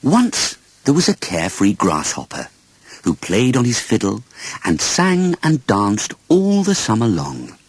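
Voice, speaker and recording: Very animated male storyteller. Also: fairly low pitch, measured pace, a little hiss or hum. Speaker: audiobook narrator. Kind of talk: expressive children's storybook narration.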